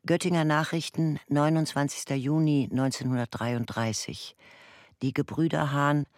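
A man's voice: a little high, fairly even intonation, normal speed, no echo, studio quality, natural voice. A man announcing newspaper titles and headlines in a scripted radio play style. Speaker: announcer of newspaper names and dates.

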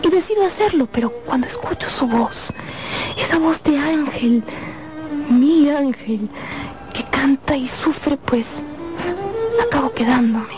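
An actress playing a tormented, pleading young opera singer in a radio drama. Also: female voice, high-pitched, unhurried, long pauses, rough recording. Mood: torn, entranced. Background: music.

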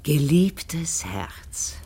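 A devout, simple maidservant in a radio play. Her voice is very deep and breathy, with slow, tender phrasing that rises and falls freely. She sounds loyal and quietly bereaved. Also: sing-song, in a whisper, full character voice. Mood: fond. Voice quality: husky, breathy. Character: devout, illiterate, loyal maidservant mourning her lost soldier. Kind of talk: German radio play acting.

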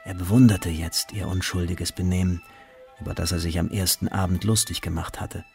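A man's voice: deep voice, fairly even intonation, normal speed, gravelly, dark tone, faint music behind. A man narrating a literary radio drama from a script.

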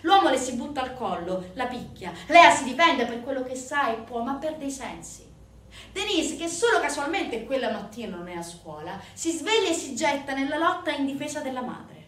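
A woman performing a dramatic theatrical monologue, intense and emphatic, swinging from hushed to loud. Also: high-pitched, very animated, moderate pace. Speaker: stage actress narrating a monologue. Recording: roomy, distant stage recording with noise.

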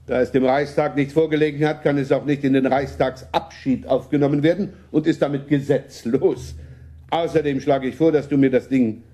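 An actor playing a wily, avuncular older prince in a German radio play, dryly ironic and legalistic. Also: male voice, medium pitch, steady tone, moderate pace, dark tone, natural voice. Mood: dryly amused.

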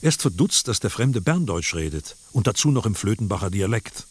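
A man narrating a radio play from a script in a dramatic style, with clipped, deliberate phrasing. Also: on the low side, sing-song, slightly rough.

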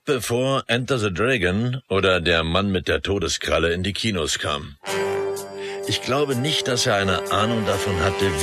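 A man's voice: on the low side, natural voice. A man playing an enthusiastic film producer looking back in scripted, documentary-style radio play testimony.

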